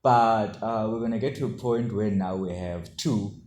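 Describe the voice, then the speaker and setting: Low, mellow man in an echoey room. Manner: halting, with frequent check-in tags. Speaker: coding tutor. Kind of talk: informal explanatory tutorial, talking to camera. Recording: roomy echo, faint background noise.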